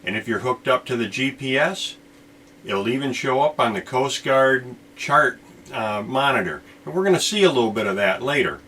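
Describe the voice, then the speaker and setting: A man's voice: medium pitch, expressive, moderate pace, sharp tone. A man presenting an instructional boating video in an informal, explanatory manner. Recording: clear background noise, ambient sound.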